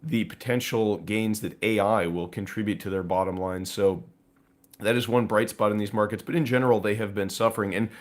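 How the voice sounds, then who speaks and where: A man with a hoarse, raspy voice hosting a live stream, talking through market news casually to viewers. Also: lowish voice, fairly even intonation, fairly quick. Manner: conversational, with frequent fillers and asides to viewers. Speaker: host of a precious metals live stream.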